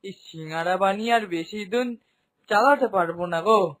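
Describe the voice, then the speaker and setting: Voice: mid-range voice, normal speed, a bit bright, lightly acted. An actor playing a humble, aging male village snack seller in a cartoon, with folksy, plaintive speech and a sighing manner. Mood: weary, resigned. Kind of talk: Bengali cartoon voice acting.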